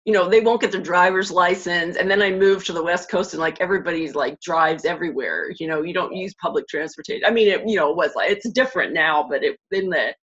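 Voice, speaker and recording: A middle-aged woman from the United States hosting an interview, chatty and loose, full of "you know" and "like", and quick to laugh. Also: fairly low pitch, a bit bright, slightly rough. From Pittsburgh area, United States. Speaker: interviewer, host. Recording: dull, muffled lo-fi line.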